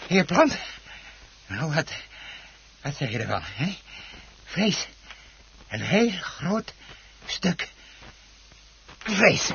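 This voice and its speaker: An actor playing a deranged, murderous husband obsessed with his plant in a radio drama, halting and repeating himself. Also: sits high, fairly bright tone, heavily acted. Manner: halting, muttering, repeating words, manic laughter. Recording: dull, noisy old radio recording.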